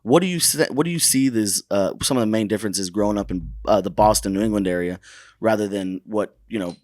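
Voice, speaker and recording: A man's voice: on the low side, a little fast, no room sound, studio quality. A young man from Texas hosting a casual podcast chat, joking and swearing freely. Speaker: podcast host.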